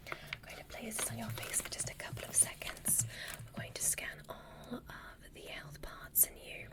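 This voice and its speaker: A woman voicing a gentle, curious alien doctor in a breathy, soothing whisper for an ASMR medical roleplay. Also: moderate pace, close to an everyday voice. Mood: soothing, clinical. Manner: soothing, softly instructing.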